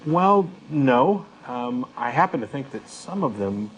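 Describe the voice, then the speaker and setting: A man's voice: mid-range voice, sing-song, measured pace, low-quality recording. A man co-hosting a talk show, speaking conversationally and emphatically as he reasons through his own views. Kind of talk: conversational discussion, personal reflection. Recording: clear background noise, room ambience.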